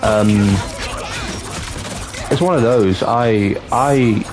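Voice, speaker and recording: A young man in his twenties from North Wales chats casually with viewers on a livestream, halting and restarting often. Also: mid-range voice. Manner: chatty, halting, with many restarts. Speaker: streamer. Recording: game music clearly behind the voice. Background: game music.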